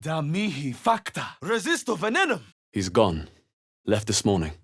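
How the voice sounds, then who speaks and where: A husky, wary fantasy game adventurer. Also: male voice, a little high, huge pitch swings, normal speed, a bit bright, heavily acted. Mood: incanting spells, then grave, guarded. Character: male adventurer hero, wary, determined, spellcaster. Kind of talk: video game character voice lines.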